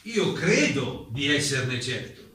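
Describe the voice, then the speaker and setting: An actor playing an evasive German playwright testifying as a witness in a radio theatre piece, hesitant and given to repeating himself. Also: male voice, mid-range voice, big pitch swings, slow, bright, forceful tone, full character voice. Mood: guarded, evasive. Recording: very echoey, distant, faint noise.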